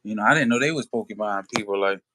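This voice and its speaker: Streamer, male, relaxed and slangy, tense bright tone, dry sound. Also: on the low side, quick pace, dry recording. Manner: laid-back, slangy, many "you know" fillers. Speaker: livestream host. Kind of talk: casual livestream chat.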